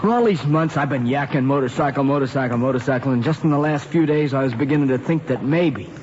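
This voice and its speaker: An actor playing a wisecracking, sympathetic American teenage pal in a radio drama, with slangy, wry delivery. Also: male voice, mid-range voice, fast, darkish tone, lightly acted. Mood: wry, commiserating. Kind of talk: mid-century American radio drama acting. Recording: muffled, noisy old radio recording.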